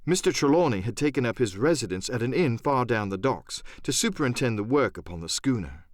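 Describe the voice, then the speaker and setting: A man narrating a first-person adventure story as a dramatic audiobook, expressive and theatrical. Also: medium pitch, brisk, no room sound, studio quality.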